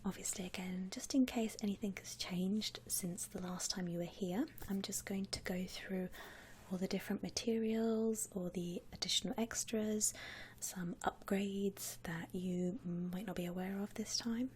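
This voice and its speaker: A woman whispering a soothing ASMR customer-service roleplay, playing a polite, reassuring shop assistant in an unhurried, breathy way. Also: mid-range voice, steady tone, a little mellow, slight background noise.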